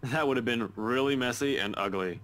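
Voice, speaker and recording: Teasing young man voiced by an actor, mid-pitched. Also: brisk, fairly bright tone, natural voice. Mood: playful. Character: teasing, awkward young man, kind beneath the jokes. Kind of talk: visual novel game acting. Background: music.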